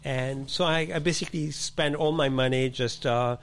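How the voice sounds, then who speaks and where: Man, older, second-language English, word-searching, trailing quiet, middle pitch, faint room ambience. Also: fairly even intonation, normal speed, a little hiss or hum. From born in Morocco, raised in Paris. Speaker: guest, fashion designer. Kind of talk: casual interview chat.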